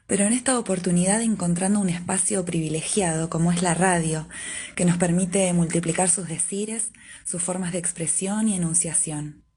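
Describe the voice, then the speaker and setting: A woman reading a prepared statement from her civic association, with measured, formal phrasing. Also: lowish voice, warm tone, husky.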